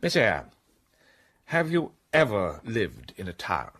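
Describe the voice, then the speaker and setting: An actor playing an imperious gentleman in a radio drama, questioning curtly. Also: on the low side, wildly swooping pitch, slow, husky, exaggerated voice. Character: brusque, commanding gentleman of the manor.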